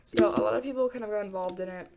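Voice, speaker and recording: Young woman, congested, stuffy nose, rambling and hesitant, lots of fillers, loud typing behind her. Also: medium pitch, rapid-fire, background noise. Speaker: gamer talking true crime. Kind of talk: casual unscripted storytelling while playing.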